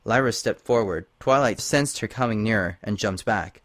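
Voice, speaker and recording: A man's voice: on the low side, normal speed, no room sound, studio quality. A man reading scripted fiction aloud as an audiobook narrator. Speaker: audiobook reader.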